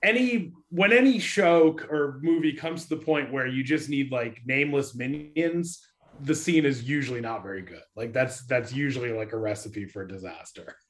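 A man offering casual, opinionated commentary as a panelist in a relaxed group discussion. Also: mid-range voice, normal speed. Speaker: podcast panelist.

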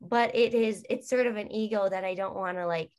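Young woman, guest, rambling chat, lots of fillers, mid-pitched voice. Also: a little fast, a little mellow, clean tone. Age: young adult. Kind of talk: casual interview chat.

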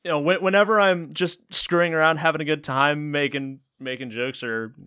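A man's voice: fairly high pitch, fairly even intonation, moderate pace, pushed and bright, smooth. A man co-hosting a podcast in casual chat, stammering through restarts and laughing often. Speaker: podcast co-host.

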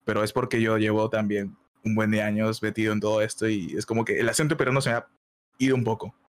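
A young man in his early twenties speaks with a Peruvian accent that has picked up some Mexican flavor. He talks in a relaxed, slangy way and laughs easily, like a guest on a casual stream chat. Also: fairly low pitch, quick pace. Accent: Peruvian Spanish with Mexican touches. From Peru. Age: 20s. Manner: laid-back, laughs often, uses slang. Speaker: guest, illustrator.

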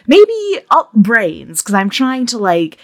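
A woman's voice: on the high side, sing-song, slow pace, darkish tone. A woman chatting casually as a roleplay player, thinking aloud hesitantly. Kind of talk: casual, giggly game chat.

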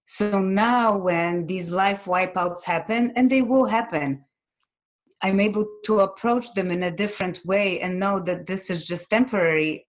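A woman from Poland, a native Polish speaker, telling her personal story as an interview guest in an earnest, measured, reflective way. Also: mid-range voice.

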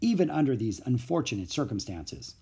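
A man's voice: mid-range voice, very animated, brisk, dark tone, slightly rough, exaggerated voice. A man doing cocky bossy storybook hijacker. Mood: mock polite.

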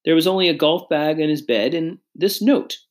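A woman voicing a haughty, agitated mother in a storybook, with a sharp, imperious manner. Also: sits low, normal speed, pitched above the performer's own voice, natural voice. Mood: anxious, distraught. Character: haughty, frantic, shouting upper-class mother.

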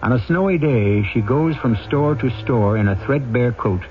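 A man hosting and narrating an old-time radio drama in a measured, dramatic way. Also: mid-range voice, expressive, slight background noise. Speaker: host and narrator. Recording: phone-like old radio recording with music. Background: music.

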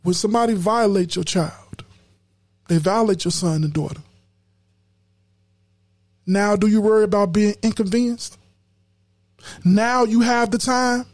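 A woman's voice: mid-range voice, a little slow, pauses often, a little mellow. A woman hosting a podcast in casual, colloquial talk, emphatic and preachy, repeating words when fired up. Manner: colloquial slang. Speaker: podcast host.

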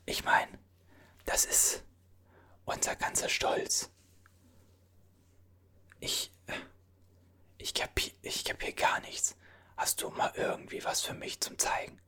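A performer whispering as a bewildered time traveler from an older era, puzzling over strange objects in a halting voice full of restarts. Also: sharp tone. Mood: puzzled. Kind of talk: whispered ASMR roleplay.

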